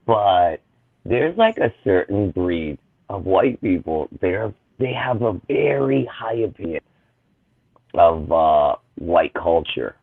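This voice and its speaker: A man from Boston with a very low voice, sarcastic and animated as he chats on a livestream. The sound is tinny and phone-like, and the room is dry and close. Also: takes it slowly, gravelly, no room sound. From Boston, Massachusetts. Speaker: guest comic creator. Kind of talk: casual chat on a livestream.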